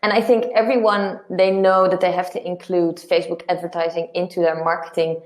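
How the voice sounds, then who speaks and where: A woman's voice: lowish voice, little pitch movement, a little fast, roomy sound. A woman hosting an interview as content producer, reading a scripted intro and asking questions.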